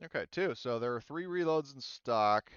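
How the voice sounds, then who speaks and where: Crisp-voiced man running a tabletop game. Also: mid-range voice, expressive, normal speed, a bit bright, no room sound, dull audio. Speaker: game master. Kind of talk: casual online tabletop game session.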